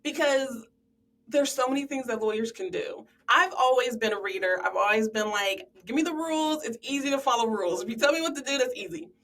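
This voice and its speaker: A woman's voice: fairly high pitch, fairly quick, a bit bright, distant mic, rough recording. A woman hosting a podcast interview, asking questions and affirming her guest with enthusiasm. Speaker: podcast host. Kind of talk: casual interview chat.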